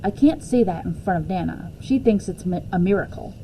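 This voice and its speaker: A woman's voice: on the low side, lively intonation, a little fast, warm tone, a little hiss or hum, poor audio. A woman reading a children's novel aloud expressively in the first person, as a storyteller. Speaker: teacher reading a book aloud.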